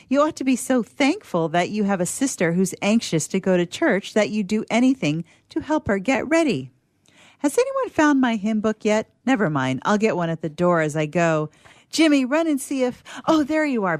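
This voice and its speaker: A woman's voice: mid-range voice, no echo. An actress playing a flustered, bossy, vain young woman late for church, fussing and complaining in a theatrical comic radio monologue. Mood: indignant, flustered. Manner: fussy, exclamatory, impatient complaining.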